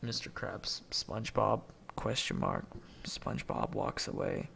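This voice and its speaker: Gravelly amateur man reading a script aloud. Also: lowish voice, measured pace, a bit bright, slight background noise. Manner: reads names and punctuation aloud, stumbling over words. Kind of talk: reading a movie script aloud, stage directions and all lines.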